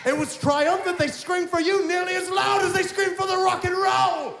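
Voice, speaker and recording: A man's voice: extremely high pitch, little pitch movement, normal speed, vocal fry, shouting. A male wrestler cutting a cocky, taunting promo in a put-on British accent, in an arena. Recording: echoey arena with crowd noise.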